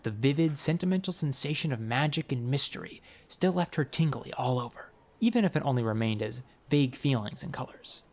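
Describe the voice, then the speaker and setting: A man reading scripted fiction as an audiobook narrator, with a wry, expressive storytelling manner. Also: mid-range voice, brisk, hardly any pauses.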